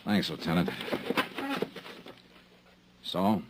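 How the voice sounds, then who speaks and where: An actor playing a tough, wisecracking insurance investigator, with dry, sardonic narration and dialogue in a 1950s radio drama. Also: male voice, low voice, drawn-out words, very noisy. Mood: wry, guarded.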